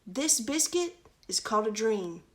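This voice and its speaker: A woman's voice: a little high, sing-song, unhurried. Woman as a polite little storybook girl. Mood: helpful.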